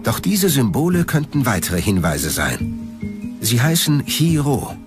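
A man's voice: medium pitch, sing-song, slow. Male documentary narrator over music. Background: background music.

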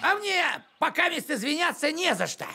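A man's voice: very high voice, big pitch swings, moderate pace, gravelly, bright, forceful tone, full character voice. An actor playing a fussy, self-important middle-aged village postman in a grumbling, pompous manner for a cartoon. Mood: grumpy, defensive. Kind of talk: animated cartoon acting.

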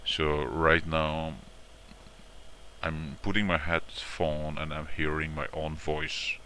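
A man presenting an informal step-by-step software tutorial in a repetitive, instructional way, often checking in with short tags. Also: very deep voice, level tone, takes it slowly, a little hiss or hum.

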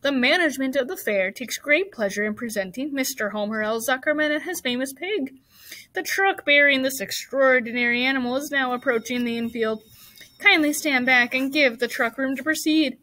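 Woman putting on a pompous, booming fairground announcer. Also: moderate pace, sharp tone, no echo, rough recording. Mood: pompous, grand. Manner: pompous, formal, declamatory.

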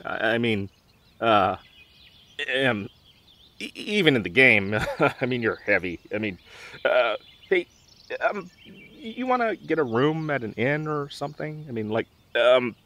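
A young man playing a dorky, nervous, protective gamer best friend in an audio roleplay, with halting, awkward delivery. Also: mid-range voice, very animated, sharp tone, heavily acted. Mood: flustered. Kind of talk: audio roleplay drama, conversational.

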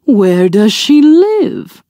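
A crafty, hungry wolf from a fairy tale, acted out by a woman reader. He draws his words out slowly and schemes as he talks. The sound is dry. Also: a little high, big pitch swings, warm tone, dry recording, heavily acted. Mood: slyly curious. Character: sly wicked male wolf, hungry and crafty.

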